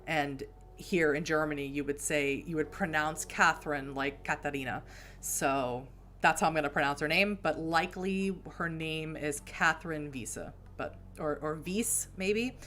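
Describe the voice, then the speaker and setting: A woman's voice: low-pitched, normal speed, fairly bright tone, a little hiss or hum. A woman with an American accent chatting casually to her stream, rambling through asides. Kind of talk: casual live-stream chat.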